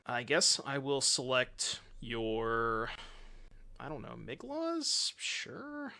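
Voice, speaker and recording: A man's voice: medium pitch, very animated, extremely slow, vocal fry, sharp tone. A man in his thirties streaming a card game from his home setup, chatting and joking with his chat while he plays, using a desk mic. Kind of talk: casual gameplay commentary reading chat. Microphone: desk mic with webcam.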